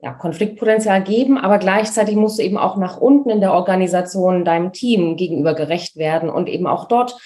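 A woman's voice: on the low side, fast talker, few pauses, warm tone. A woman who is a business coach answering podcast interview questions in a casual, enthusiastic way, with slangy words and frequent laughter.

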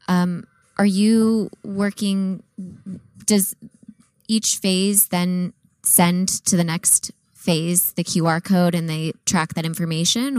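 A woman's voice: on the low side, darkish tone, dry recording. A woman from an audience asking a casual, polite question, searching for her wording as she goes.

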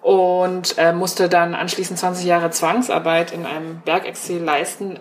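A woman's voice: lowish voice, slight background noise. Thoughtful woman in her thirties on the phone. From grew up in Germany. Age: 30s. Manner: thoughtful, recounting personal memories with hesitations. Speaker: foreign correspondent, interviewee. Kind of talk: reflective interview answers. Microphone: telephone.

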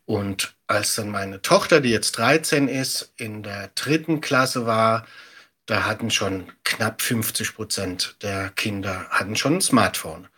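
A man's voice: lowish voice, slow, bright, forceful tone, breathy edge. A middle-aged man giving reflective, explanatory answers as an expert guest in a conversational interview.

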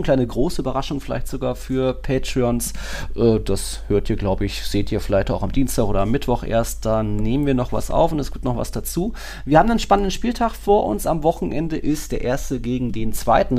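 A man's voice: medium pitch, vocal fry, darkish tone. A man co-hosting a football podcast, speaking informally and thinking aloud as he goes. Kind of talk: casual football podcast chat.

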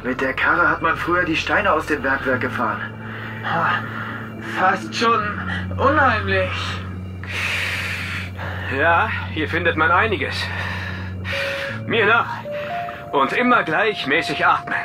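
A man's voice: on the high side, lively intonation, slow, long pauses. A young man playing an adventurous teenage boy detective in a children's audio drama. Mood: tense, eager. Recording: distant, noisy excerpt with music behind.

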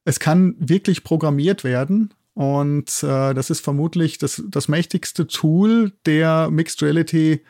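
A man's voice: on the high side, measured pace, few pauses, mellow, no room sound, studio quality. A middle-aged man explaining things enthusiastically as an expert interview guest, often checking in at the ends of phrases. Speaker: guest expert, zoology institute head and sound producer.

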